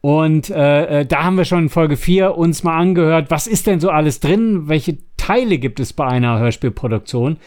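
A man's voice: on the high side, a little mellow. A man co-hosting a podcast, chatting casually and giving tips, often stumbling over the starts of his words. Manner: halting, stammering over word starts. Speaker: podcast co-host.

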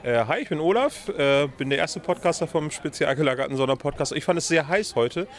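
A man's voice: a little high, expressive, a little fast. A man chatting casually as a podcaster, hesitantly searching for words. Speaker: podcaster, interviewee. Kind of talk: casual chat. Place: outdoors at a convention.

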